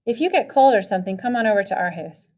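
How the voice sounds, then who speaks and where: A woman reading a soft-spoken teenage boy. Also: medium pitch, animated, fast talker, close to an everyday voice. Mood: gentle. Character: gentle quiet teenage boy friend.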